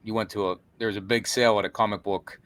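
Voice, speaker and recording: Low-pitched male co-host, relaxed and halting, dry recording. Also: no room sound. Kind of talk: casual interview chat.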